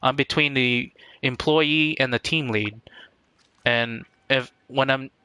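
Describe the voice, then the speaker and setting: A man giving casual live-stream game commentary in a halting, rambling way, often repeating words as he goes. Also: medium pitch, sharp tone, smooth, no room sound.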